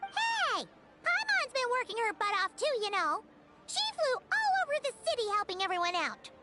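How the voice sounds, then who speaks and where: A woman voicing a tiny, hyper, childlike fairy companion in a video game, squeaky and bubbly, referring to herself by name. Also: sing-song, moderate pace, heavy background noise. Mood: perky, indignant. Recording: loud background music.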